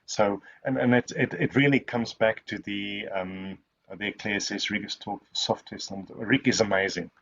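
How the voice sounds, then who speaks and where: A man whose first language is Afrikaans answers questions in a webinar, rambling and restarting his sentences. His voice sits fairly low. The audio is somewhat muffled and lo-fi. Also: steady tone, a little slow, vocal fry, a bit bright, a little hiss or hum. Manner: rambling, many restarts and fillers. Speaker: guest speaker.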